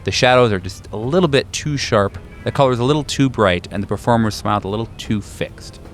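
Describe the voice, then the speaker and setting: A man's voice: medium pitch, fairly quick, soft background music. A man acting as game master, describing scenes and guiding players in a tabletop roleplaying game.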